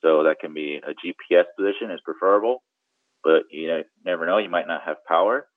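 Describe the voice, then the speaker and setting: A man's voice: deep voice, brisk, dry recording, narrow, tinny audio. A man giving conversational, step-by-step instruction as an expert guest, often checking in with the listener. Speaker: guest boating radio expert.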